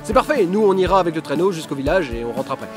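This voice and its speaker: A man's voice: fairly high pitch, lively intonation, rapid-fire, over music, lightly acted. A man playing a chirpy, evasive ski resort guide in a comedy audio drama, breezy with some hesitation.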